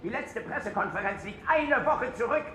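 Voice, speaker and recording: A man's voice: extremely high pitch, lively intonation, normal speed, sharp tone, heavily acted. An actor playing an anxious, persistent, pleading male police press officer in a radio drama. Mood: urging. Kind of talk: German radio drama acting. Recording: echoey room with faint noise.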